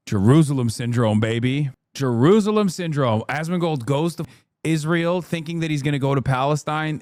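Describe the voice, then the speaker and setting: Sarcastic fast-talking male streamer. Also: mid-range voice, darkish tone, no echo, studio quality. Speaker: streamer host. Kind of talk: casual reaction-stream commentary.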